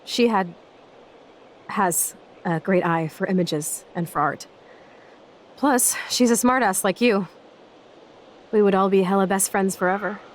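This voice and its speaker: A woman's voice: medium pitch, unhurried, long pauses, slight background noise, lightly acted. An actress voicing a brash, sarcastic, loyal young punk woman in a video game, with a blunt, slangy way of talking. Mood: candid, wry. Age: young adult. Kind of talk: naturalistic video game acting.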